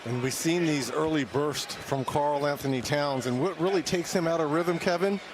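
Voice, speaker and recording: A man's voice: fairly high pitch, moderate pace. A man giving live TV basketball analysis in an instructive, emphatic way. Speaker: color analyst. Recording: loud music over broadcast feed.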